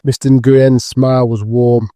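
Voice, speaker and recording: A man's voice: mid-range voice, flat delivery, measured pace, mellow, no room sound, clean studio sound. A man reading scripted narration for an audio drama.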